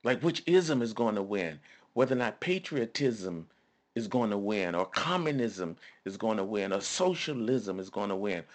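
A man preaching a sermon in a conversational, emphatic way, repeating phrases and calling on listeners to respond. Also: medium pitch.

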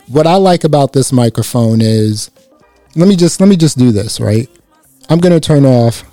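A man with a slightly raspy voice reviewing a microphone in a casual, chatty way, speaking close into a dynamic mic. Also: mid-range voice, normal speed, no echo, soft background music. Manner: relaxed, chatty, many "you know" fillers. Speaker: gear reviewer. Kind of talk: casual product review to camera. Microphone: close dynamic microphone through a processor.

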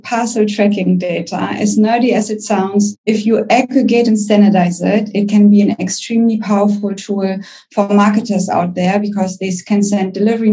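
A woman's voice: mid-range voice, mellow, poor audio. A young woman with a German accent, a company founder speaking confidently as a guest on a business podcast. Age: young adult.

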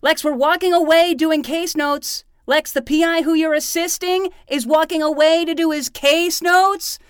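A woman's voice: high voice, steady tone, moderate pace, no echo, clean studio sound, natural voice. A woman playing an earnest, pompous twelve-year-old boy who talks like a private eye in a fiction podcast. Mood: impatient, self-important. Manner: grandiose, stubborn detective-speak. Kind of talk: scripted fiction podcast acting.

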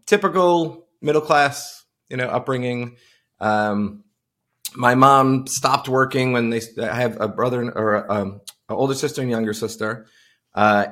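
A man's voice: medium pitch, creaky, fairly bright tone. A middle-aged man from Long Island chatting casually as a podcast guest, telling stories in an informal way. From Long Island, United States. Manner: informal, anecdotal storytelling. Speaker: podcast guest, tech entrepreneur. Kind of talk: casual conversational interview.

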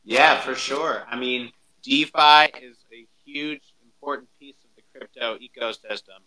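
A man's voice: medium pitch, measured pace, bright, forceful tone, low-quality recording. A man hosting a podcast, introducing and interviewing in an upbeat presenter style. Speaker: podcast host.

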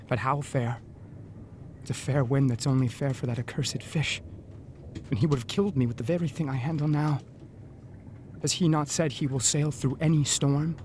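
A man acts out a dutiful whaling first mate in torment, mid-pitched and agonizing over every thought. It is audio drama soliloquy, with faint ship ambience behind him. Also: darkish tone, a little hiss or hum, close to an everyday voice. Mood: torn, brooding.

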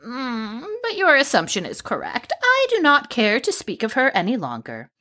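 High-voiced, theatrical, imperious sorceress. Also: female voice, huge pitch swings, pushed and bright, full character voice. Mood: teasing, aloof. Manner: melodramatic, haughty, drawn-out delivery. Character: imperious, theatrical, whimsical sorceress mentoring an apprentice. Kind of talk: comedic fantasy audio drama acting.

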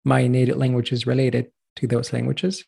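Man, 30s, from Chile, native Spanish speaker, pronunciation coach, very creaky, husky tone. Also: mid-range voice, completely flat delivery, moderate pace, a little mellow. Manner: earnest, rambling, thinks aloud with restarts. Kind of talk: casual interview talk.

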